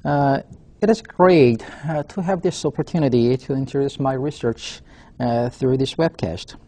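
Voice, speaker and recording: A man in his forties from South Korea with a Korean accent, a professor giving a webcast lecture in a halting way, filling his pauses with "uh". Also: medium pitch, mellow. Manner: halting, frequent "uh" fillers. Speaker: university professor.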